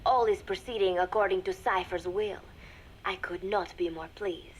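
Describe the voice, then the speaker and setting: A composed young female spy, played by a woman, records a secret log for a video game. Her delivery is measured and formal, with careful, deliberate wording and a mid-range pitch. The tape has clear noise behind her voice. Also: steady tone, close to an everyday voice. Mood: quietly satisfied. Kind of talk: video game voice acting, spoken audio log. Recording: noisy cassette tape recording with ambience.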